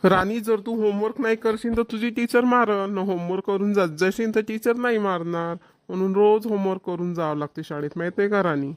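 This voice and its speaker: A woman's voice: medium pitch, fairly even intonation, brisk, hardly any pauses, no room sound, natural voice. A woman voicing a bossy, know-it-all schoolboy older brother in a Marathi cartoon comedy, with a rural Vidarbha accent. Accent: Maharashtrian, rural Vidarbha dialect. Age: child character, about eleven.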